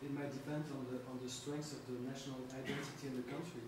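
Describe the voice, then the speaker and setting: A man's voice: mid-range voice, level tone, fast talker. A Belgian man giving a thoughtful remark in a discussion, stammering and repeating words. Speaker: audience member in discussion. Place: large public hall. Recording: echoey hall, distant mic, hum.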